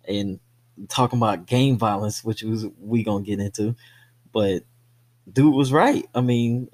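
Man, mid-pitched voice, podcast co-host, casual movie talk, slangy, free with swearing. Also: a little mellow.

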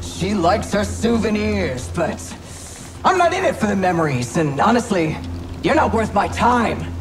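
A woman's voice: medium pitch, sing-song. A woman playing a hulking, cruel alien inquisitor in a video game, mocking and menacing through a helmet. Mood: taunting, menacing. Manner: mocking, sneering, drawn-out threats. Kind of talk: dramatic game acting. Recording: music behind the voice.

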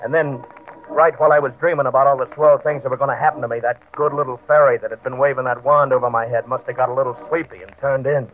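A man's voice: mid-range voice, fairly even intonation, quick pace, slight background noise, close to an everyday voice. Man playing a desperate small-time gambler, tinny old radio. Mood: brooding. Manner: colloquial, tough-guy slang, stammering under pressure. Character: desperate, nervous small-time doorman turned gambler, narrating his downfall. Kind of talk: old-time radio crime drama acting. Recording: vintage radio, narrow band, faint music.

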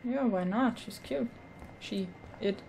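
A woman hosting a casual let's play, commenting in a hesitant, low-key way. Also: slow, vocal fry, background noise. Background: video game ambience.

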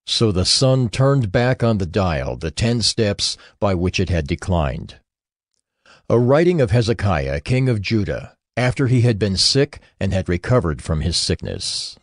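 A man's voice: lowish voice, big pitch swings, a little slow, dry recording, clean studio sound. A man narrating a dramatized scripture reading in a solemn storytelling manner.

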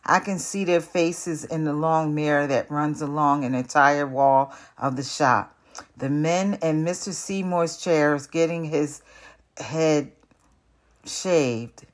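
Slow, low-pitched older woman reading aloud. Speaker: retired teacher reading aloud. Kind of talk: picture book read-aloud narration.

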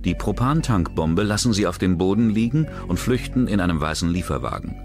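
A man giving a measured, grave scripted narration for a crime documentary. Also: deep voice, animated. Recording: voice-over over loud music.

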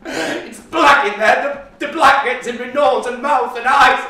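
A man's voice: sits high, lively intonation, measured pace, heavily acted. An actor playing a frightened, defiant eight-year-old boy who swears and blurts in a solo stage monologue, heard in a very echoey space from far off. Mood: panicked. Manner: hushed then shouting in panic.

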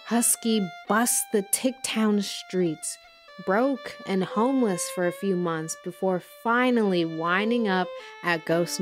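A woman playing an earnest music documentary podcast host, delivering measured, dramatic scripted narration in an audio drama. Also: on the low side, lightly acted.

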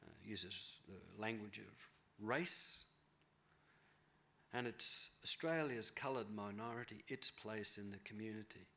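A middle-aged man giving a keynote lecture from a podium in a large auditorium, thoughtful and measured, often rephrasing himself. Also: fairly low pitch, vocal fry, sharp tone. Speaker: keynote speaker, novelist. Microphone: podium mic.

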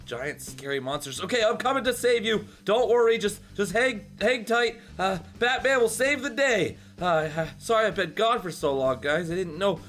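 A man's voice: sits high, animated, normal speed, hardly any pauses, fairly bright tone, soft background music. A man playing an exasperated, well-meaning but bumbling superhero in an improvised game roleplay, talking in a flustered, hesitant way. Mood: determined, harried.

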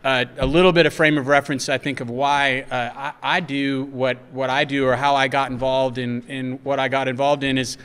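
A man from West Texas introducing a conference panel from a podium, talking haltingly with many restarts. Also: mid-range voice, level tone, fairly bright tone, clear, resonant tone. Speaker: panel moderator. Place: hotel conference room. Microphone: podium mic. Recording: reverberant hall.

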